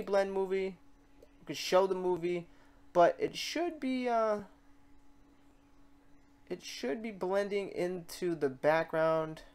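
A tutorial host talks casually through software steps, thinking aloud and correcting themselves along the way. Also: medium pitch. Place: voice-over on a screen recording.

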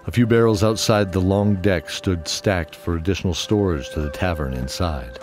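A man's voice: low voice, normal speed. A man narrating a fantasy audio drama as scripted storytelling. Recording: music under the voice. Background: music.

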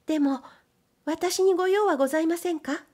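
Woman as a high-voiced, polite young girl. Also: fairly quick, darkish tone. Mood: eager, polite. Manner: deferential, polite, light.

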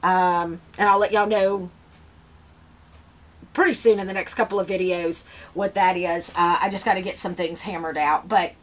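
A woman's voice: on the low side, steady tone, moderate pace. Chatty woman in her fifties. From Louisiana, US. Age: 50s. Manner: chatty, rambling, with many fillers and restarts; works with seedling trays while talking. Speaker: flower farmer. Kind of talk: casual chat to camera. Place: indoor seed-starting shed with grow shelves.

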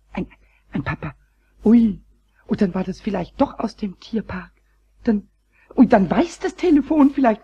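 An actor playing a mischievous childlike kobold in a children's radio play, raspy and croaky, stammering and repeating words when excited. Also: male voice, high-pitched, heavily acted. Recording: dull old cassette recording.

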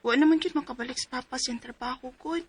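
A woman's voice: sits high, quick pace, a little hiss or hum, natural voice. A woman in her twenties from Cebu province voicing a timid young helper who narrates her own story and pleads apologetically in a radio drama. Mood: worried. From Cebu province, Philippines. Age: 20s. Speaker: letter-writer narrator and lead.

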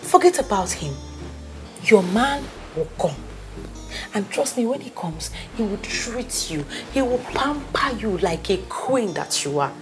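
Young Nigerian woman, fiercely loyal friend, animated, insistent, repetitive, Pidgin slang, music behind. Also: mid-range voice, unhurried. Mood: firm, consoling. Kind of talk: naturalistic film drama acting.

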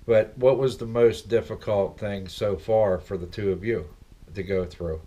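A man's voice: lowish voice, steady tone, measured pace, warm tone, muffled recording. A middle-aged man hosting a casual vlog from his living room, chatting and rambling with lots of questions for his viewers. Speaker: vlog host. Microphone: laptop webcam, seated at desk.

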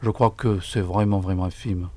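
Male interview guest, middle-aged. Lower register, formal, careful and hesitant with doubled words, tight studio sound. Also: steady tone, dark tone, no room sound. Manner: halting, often repeats words, deliberate. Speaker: book fair coordinator, interview guest. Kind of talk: formal radio interview answers. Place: radio studio. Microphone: broadcast microphone on desk stand.

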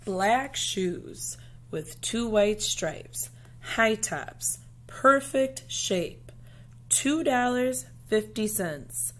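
A woman reading a children's picture book aloud like a teacher, with deliberate, dramatic phrasing. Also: mid-range voice, lively intonation, a little hiss or hum.

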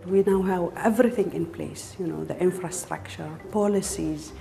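A woman from Oman with a lowish, very mellow voice and plenty of creak speaks in a film interview. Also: fairly even intonation, moderate pace. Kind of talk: interview answers for a promotional film.

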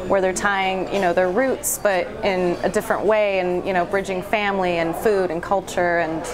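A woman in her thirties, born in Bulgaria, talking as a restaurant owner in a relaxed interview, with frequent fillers and a conversational flow. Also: on the low side, moderate pace, a crowd in the background, low-quality recording. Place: indoors, in front of a hedge backdrop.

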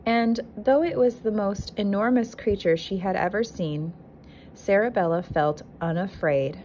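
A woman who is a kindergarten teacher reads a picture book aloud to young children with expressive, deliberate storytelling. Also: medium pitch, low-quality recording. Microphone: handheld phone filming the book. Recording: noticeable background noise, amateur phone recording.

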